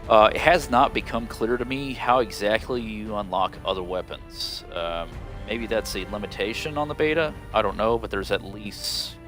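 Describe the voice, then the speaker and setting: A man with a slightly stuffy, nasal voice from a cold, casually commenting on gameplay in a rambling, unhurried way with many ums. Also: fairly low pitch, music in the background. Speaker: gaming video host.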